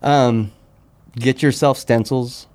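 A man talking casually as a podcast guest, in informal slang, often halting and repeating his words as he explains things. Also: medium pitch, fairly even intonation, darkish tone. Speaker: podcast guest, sneaker customizer. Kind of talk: casual interview chat.

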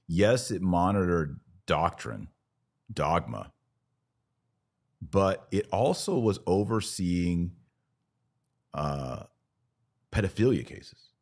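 A man's voice: low voice, darkish tone. A man hosting a conversational religious commentary podcast, opinionated and informal in manner. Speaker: podcast host.

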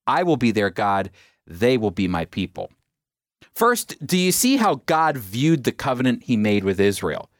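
A man teaching from scripture in an earnest, emphatic way, slowing down to stress key phrases. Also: mid-range voice, no room sound, studio quality. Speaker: Bible teacher.

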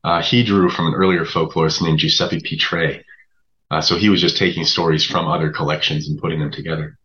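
A man being interviewed as a writer, explaining things conversationally in a halting way. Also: very deep voice, little pitch movement, a bit bright, lo-fi sound.

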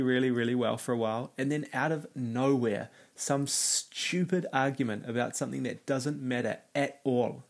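A man hosting a solo self-help podcast, talking in an earnest, motivational way while raspy and nasal from a cold. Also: mid-range voice. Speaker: podcast host.

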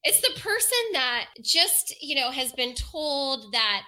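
Lively bright-voiced woman chatting. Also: high-pitched, takes it slowly, rough recording. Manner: animated, laughing often, mock-indignant. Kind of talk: casual chat.

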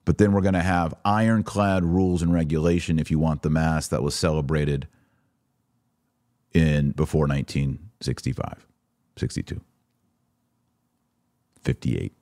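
A man's voice: sits low, fairly even intonation, measured pace, pauses often, darkish tone, no room sound, studio quality. A man hosting a podcast, reading documents aloud and commenting on them with sarcasm and emphasis. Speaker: podcast host.

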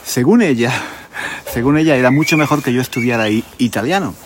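A man with an accent from Spain telling stories conversationally as a language podcast host, deliberately repeating phrases for emphasis. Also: mid-range voice, lively intonation, background noise. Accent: Spanish, from Spain. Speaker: podcast host, Spanish teacher. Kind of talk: conversational storytelling for language learners.

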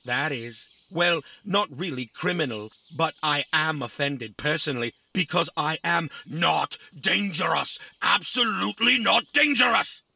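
An actor playing a naive, chatty, easily offended little robot in a children's audio drama, rambling excitably. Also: male voice, a little high, gravelly, full character voice. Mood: alarmed, offended. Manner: rambling, excitable, adds tag questions.

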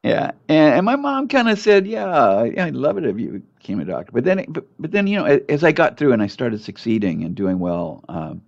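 Gravelly older man, halting speech. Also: medium pitch, sing-song. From United States. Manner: halting, many fillers and restarts. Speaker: doctor, interview guest. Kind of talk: casual conversational interview. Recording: muffled lo-fi audio.

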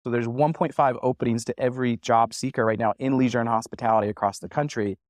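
A man speaking as an economist on a panel, analytical and measured. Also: mid-range voice, fairly even intonation, no room sound, clean studio sound. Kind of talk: panel discussion.

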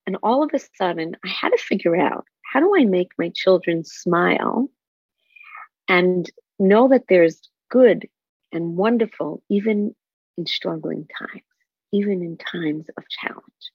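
Older female interview guest, breathy husky tone, deliberate and emphatic, muffled dry sound. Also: medium pitch, no echo. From Israel. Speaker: guest, spiritual counselor. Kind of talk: personal storytelling in an interview.

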